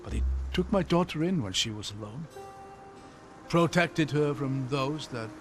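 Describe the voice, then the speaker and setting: An actor playing a thoughtful, kindly older scholar-adventurer in a video game, articulate and wry. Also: male voice, mid-range voice, expressive, a little slow, lightly acted. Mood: reflective, sober. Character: learned, kindly older male scholar-adventurer, wistful about history. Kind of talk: video game cutscene acting. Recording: loud game score behind. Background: music.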